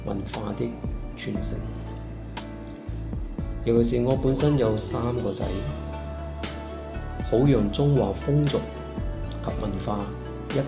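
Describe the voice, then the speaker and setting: A man from Malaysia reads slowly and deliberately, his low voice gravelly. The recording is roomy and echoey, with instrumental music playing clearly behind him. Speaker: art teacher and clay artist. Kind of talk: prepared reading.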